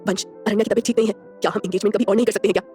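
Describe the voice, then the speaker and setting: A woman's voice: mid-range voice, steady tone, racing, warm tone, soft background music, pitched below the performer's own voice, lightly acted. A woman voicing a concerned young sister-in-law who protests earnestly. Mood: protesting.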